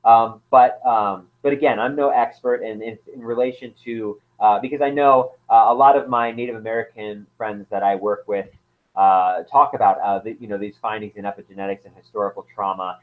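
A man's voice: on the low side, steady tone, a little fast. A man explaining as an anthropologist guest in a casual interview, hesitant, with many fillers and restarts. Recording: tinny phone-like line.

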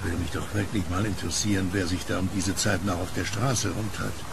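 A man's voice: deep voice, fairly even intonation, fairly quick, vocal fry. An actor playing a genial, solitary late-night kiosk keeper who is fond of his dog, in a horror radio drama. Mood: wary, puzzled. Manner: chatty, teasing, soothing to his dog. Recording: noisy storm ambience behind voice.

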